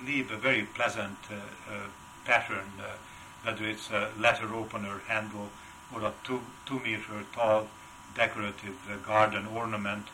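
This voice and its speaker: Older Hungarian-accented man, bright halting voice. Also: lowish voice, level tone, slight background noise, low-quality recording. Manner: halting, many filler sounds. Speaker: wood carver, interview guest. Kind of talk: casual interview.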